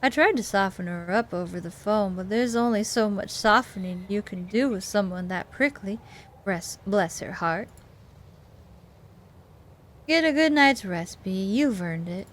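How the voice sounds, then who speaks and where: A woman voicing a guarded local woman in a visual novel game, measured and wry. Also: mid-range voice, close to an everyday voice. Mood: kindly.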